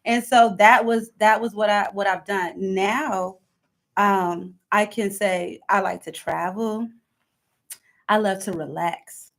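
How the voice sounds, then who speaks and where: A woman's voice: medium pitch, fairly even intonation, slow pace. A woman of thirty-two talking casually as an interview guest, emphatic and often repeating her phrases to drive them home. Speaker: interview guest, hair stylist.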